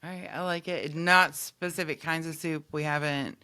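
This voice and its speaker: A woman's voice: low voice, fairly even intonation, normal speed, a crowd in the background. A woman hosting a podcast in casual comedic chat, with a playful, jokey manner and a readiness to laugh.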